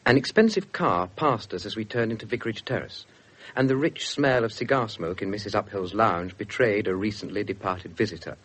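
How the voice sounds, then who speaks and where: An actor with an English accent playing an earnest, slightly awkward young police detective in a radio drama. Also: male voice, on the low side, brisk, fairly bright tone, husky. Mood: earnest narrating. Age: young adult. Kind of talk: 1970s British radio drama acting and narration.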